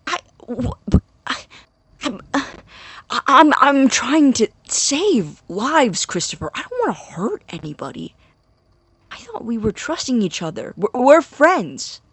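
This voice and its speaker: A woman playing a nervous, awkward young scientist in a naturalistic audio drama, husky and halting, easily flustered. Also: medium pitch, big pitch swings, a bit bright, no echo, full character voice. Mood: shocked, indignant. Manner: halting, stammering, indignant when challenged.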